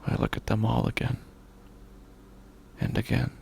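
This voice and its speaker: A deeply creaky, low-voiced grieving man, alone and unhinged, played by a male actor in a horror audio drama. He speaks very slowly and haltingly, often slipping into a breathy whisper, circling back over his own phrases. Faint noise and ambience sit behind him. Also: sing-song, darkish tone, full character voice. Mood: hushed, numb. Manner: halting, repetitive, slow brooding delivery. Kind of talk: first-person horror audio drama monologue.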